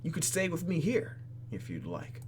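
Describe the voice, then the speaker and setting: A man voicing the hesitant, kind young heroine of a visual novel game in an earnest, halting way. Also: a little high, very animated, darkish tone, slight background noise, voice pushed up.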